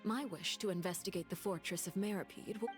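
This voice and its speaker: An actress playing a composed, calculating woman leader in a video game, speaking in a measured, formal way. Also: medium pitch, over music, lightly acted. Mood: commanding.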